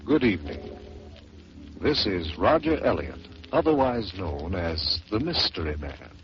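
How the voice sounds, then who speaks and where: A man hosting an old radio storytelling show, reading a ghost story aloud in a measured, theatrical way. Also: sits low, sing-song, over music. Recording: muffled old radio broadcast with hiss.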